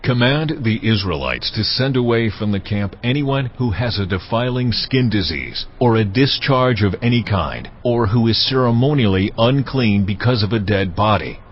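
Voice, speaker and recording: A man voicing God in a dramatized audio Bible, giving solemn commands in a measured, authoritative way. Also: lowish voice, lively intonation. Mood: solemn, commanding. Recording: dull studio sound under music. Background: clear background music.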